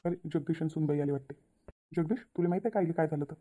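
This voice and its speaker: A woman voicing a responsible elder brother and family peacemaker in a cartoon, probing and gently directing in a Vidarbha Marathi accent. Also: sits low, rapid-fire, faint music behind. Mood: questioning. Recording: tinny phone-like narrow audio.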